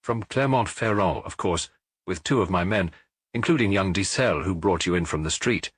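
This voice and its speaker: A man's voice: deep voice, moderate pace, husky, no echo. A man voicing a jovial, talkative middle-aged police chief superintendent in an audiobook. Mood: businesslike. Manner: hearty, talkative, reporting.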